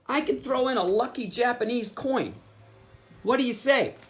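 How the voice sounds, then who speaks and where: A man's voice: very high voice, moderate pace, a bit bright, pitched above the performer's own voice. A man voicing an eager, kind young boy who trades things, in a raised voice.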